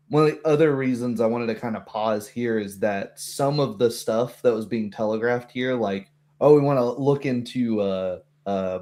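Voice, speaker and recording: A man talking casually as a tabletop game master, chatty and halting, with a readiness to chuckle. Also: mid-range voice, a little mellow.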